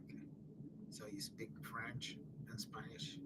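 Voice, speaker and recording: A middle-aged man in casual conversation, talking in halting starts and often clearing his throat. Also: on the low side, flat delivery, pushed and bright, slight background noise, low-quality recording.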